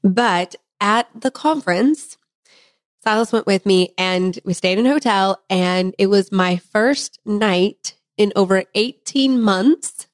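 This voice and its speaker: Woman, thirties, slightly hoarse, chatty podcast co-host, dry close mic. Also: medium pitch, slow pace, no echo. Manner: chatty, talkative, laughs easily. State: slightly hoarse, recovering from laryngitis. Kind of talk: casual chat.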